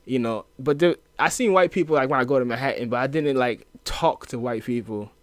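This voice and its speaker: A man from the inner city who moved south, a guest talking in a loose, profane way with lots of fillers and lively retelling. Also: mid-range voice, a little fast, dry recording. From US inner city, moved to Louisiana. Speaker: podcast guest. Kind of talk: casual chat.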